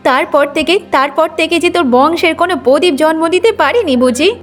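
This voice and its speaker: A woman's voice: sits high, fast, faint music behind, voice pushed up, close to an everyday voice. A woman playing a boastful, meddling older village grandmother in a cartoon moral story. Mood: meddling, persuasive.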